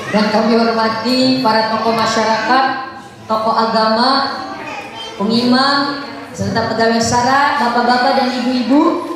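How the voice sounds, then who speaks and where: A high-pitched Sundanese-accented woman from West Java, preaching with playful questions to the crowd. She is heard from a distance in a big echoing hall with a long reverb tail. A crowd is clearly audible behind her. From West Java, Indonesia. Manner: playful, quizzes the audience. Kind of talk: lively sermon with Arabic chanting.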